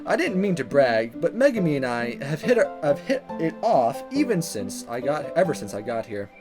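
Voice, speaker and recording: Casting: male let's player, animated delivery, reading and recapping a visual novel over music. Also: fairly high pitch, normal speed. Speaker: let's play host. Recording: music playing under the voice.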